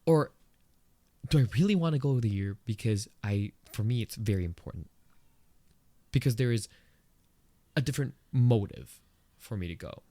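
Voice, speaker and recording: An earnest man with a Filipino accent hosts a solo podcast, his voice sitting at an ordinary middle pitch. He talks in a conversational, reflective way and leaps upward for emphasis. The recording is close and dry, with almost no room sound. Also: animated, normal speed, pauses often, mellow, dry recording. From Philippines. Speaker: podcast host.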